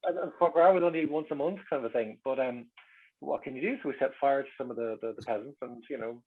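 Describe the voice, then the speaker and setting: A man with a Dublin Irish accent chatting casually, a wry and animated storyteller in a public talk. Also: mid-range voice, fast, breathy edge, phone-quality sound. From Dublin, Ireland. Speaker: guest speaker. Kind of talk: casual chat, reminiscing.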